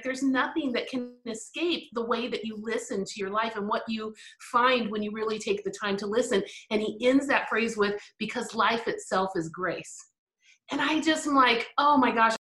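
A middle-aged woman talks in an animated, reflective way, breaking into laughter now and then. Her voice is bright and forceful. The room around her rings with echo. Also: a little high. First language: English. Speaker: guest. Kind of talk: interview conversation.

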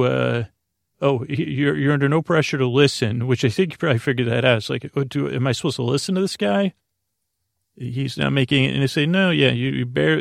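A man hosting a bedtime podcast, rambling through a halting, mumbling monologue with a droning, creaky voice. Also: mid-range voice, dry recording, studio quality. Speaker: podcast host.

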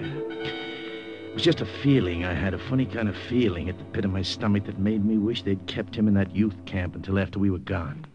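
An uneasy, hardboiled undercover agent, played by a man in an old-time radio drama. His low voice is confiding and guarded, on a muffled recording with loud music behind. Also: natural voice. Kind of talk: 1950s radio drama acting.

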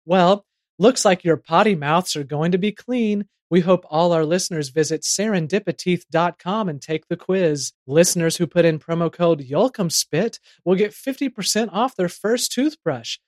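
A man's voice: high-pitched, moderate pace, no echo, studio quality. A man hosting a comedy podcast, reading playful scripted narration and ads. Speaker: podcast host.

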